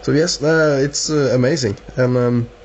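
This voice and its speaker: A man with a Norwegian accent chatting casually as a radio operator, halting and repeating words. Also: mid-range voice, steady tone. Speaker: amateur radio operator filming the contact. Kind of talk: casual two-way radio chat. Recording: dull lo-fi camera sound, faint hiss.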